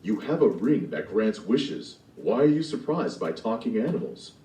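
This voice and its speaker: A man voicing a deadpan, matter-of-fact talking dog in a storybook play, recorded in a roomy space. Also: fairly low pitch, moderate pace. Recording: roomy, from far off the mic.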